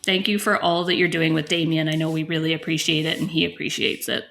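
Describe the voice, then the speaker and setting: A woman's voice: low-pitched, level tone, quick pace, creaky, a bit bright. A woman playing a gracious, interested mother at a school open house in a role-played case study.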